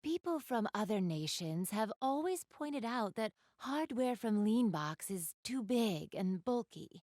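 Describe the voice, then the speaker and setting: A woman's voice: a little high, lively intonation, unhurried, a little mellow, no echo, clean studio sound. A woman playing an elegant, polite young goddess in a video game, speaking to the listener in formal, ladylike phrases. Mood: earnest, thoughtful. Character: elegant, refined young goddess with gamer enthusiasm. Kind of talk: video game character dialogue, addressing the listener.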